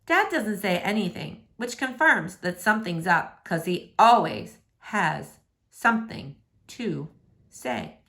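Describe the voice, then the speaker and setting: A woman's voice: medium pitch, big pitch swings, slow pace, a bit bright. A woman reading a novel in verse aloud, narrating expressively.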